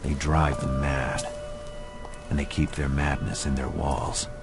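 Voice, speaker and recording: A man voicing a brooding amnesiac narrator in a noir horror game, speaking in a hushed, half-whispered, slow way. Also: very deep voice, level tone, vocal fry, exaggerated voice. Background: music.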